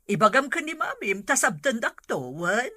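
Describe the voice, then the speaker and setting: A woman playing a kindly old village grandmother in a radio drama, gentle and unhurried. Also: a little high, big pitch swings, no room sound, studio quality, full character voice. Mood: fond. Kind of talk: Ilocano radio drama acting.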